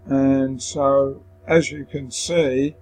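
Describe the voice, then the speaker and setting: A man presenting a software tutorial, explaining step by step in a hesitant way. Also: medium pitch, level tone, drawn-out words, faint music behind. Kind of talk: software screen-recording walkthrough.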